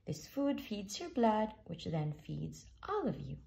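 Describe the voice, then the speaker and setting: A woman reads a rhyming children's book aloud at an unhurried pace, her voice dipping and rising expressively. Her tone is dark and mellow with a gravelly edge of fry underneath. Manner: slow, expressive read-aloud with lively pitch. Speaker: storybook reader.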